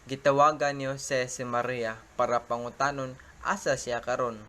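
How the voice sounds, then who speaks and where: A man's voice: medium pitch, flat delivery, normal speed, fairly bright tone. A man with a Cebuano accent narrating a student radio drama in a plain storytelling way.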